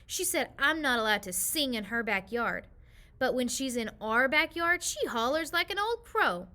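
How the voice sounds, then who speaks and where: A cross little girl grumbles through a storybook in a woman reader's voice. Her tone is bright and high, with a whiny, indignant edge to every complaint. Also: big pitch swings, moderate pace, heavily acted. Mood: complaining. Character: young girl, sulky and complaining.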